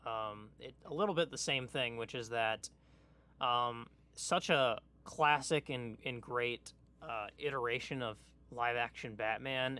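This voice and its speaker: Guy cohost on a podcast, crisp bright voice, casual, kinda halting as he thinks out loud. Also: mid-range voice. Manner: halting, tentative, thinks aloud. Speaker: podcast co-host. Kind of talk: casual pop culture chat.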